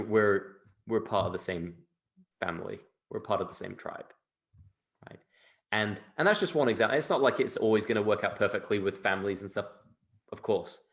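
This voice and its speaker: A man from England with a British accent, speaking emphatically as a guest in an interview, repeating phrases and often checking in with a tag question. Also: fairly low pitch. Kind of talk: conversational interview talk.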